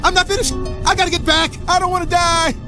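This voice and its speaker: An actor playing an eager middle-aged male jazz pianist who is quick to panic, in a film clip with music behind. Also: very high-pitched, flat delivery. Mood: panicked.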